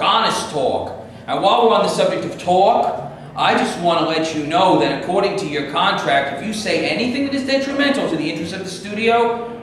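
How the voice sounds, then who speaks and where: Play reading in a reverberant hall, noisy audio: male reader as defensive film executive, higher-pitched, firm and matter-of-fact. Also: fairly bright tone. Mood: firm, officious. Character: hard-nosed, defensive male movie studio boss. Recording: echoey live room, distant camera, noisy.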